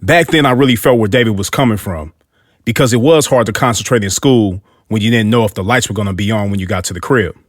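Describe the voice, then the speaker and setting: A man's voice: fairly low pitch, quick pace, no echo, studio quality. A man narrating a scripted motivational story in an earnest way, with hip-hop street slang.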